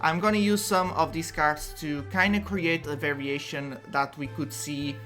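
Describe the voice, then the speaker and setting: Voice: medium pitch, fairly even intonation, normal speed, a bit bright, faint music behind. A content creator with a Portuguese accent, explaining a card game strategy in a casual, chatty way and often restarting sentences. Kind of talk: casual explainer talk to camera.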